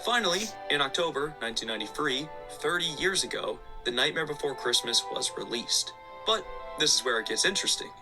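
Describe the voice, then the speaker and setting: A man's voice: mid-range voice, lively intonation, a little fast, poor audio. A man narrating a scripted video essay with casual asides. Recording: background music under the voice. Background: music.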